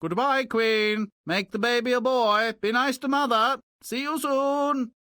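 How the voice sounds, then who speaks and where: A man's voice: very high voice, a little slow, bright, forceful tone, no echo, studio quality, exaggerated voice. A man voicing an earnest, pompous fairy tale king in a video game, grand and naively romantic. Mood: cheery.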